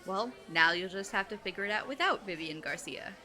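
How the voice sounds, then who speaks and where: Audio drama best friend, woman actor: teenage girl, mid-pitched, clear-edged, deadpan, sarcastic yet loyal. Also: brisk, soft background music. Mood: skeptical, wry.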